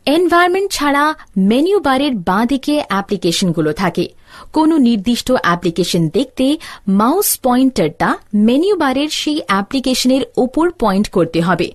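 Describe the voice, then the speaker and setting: A woman narrates scripted instructional material. Her voice sits high and moves with a very animated melody. The recording is dry, with no room sound around the voice. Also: no room sound. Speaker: tutorial narrator.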